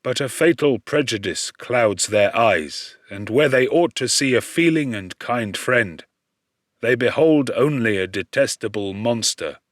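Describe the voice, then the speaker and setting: Man acting a slow-spoken, lonely outcast creature. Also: medium pitch, lively intonation, dry recording, clean studio sound, close to an everyday voice. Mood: earnest, troubled. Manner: formal, earnest, pleading. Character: lonely outcast male creature, humble, anxious, eloquent. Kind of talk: audiobook character voice.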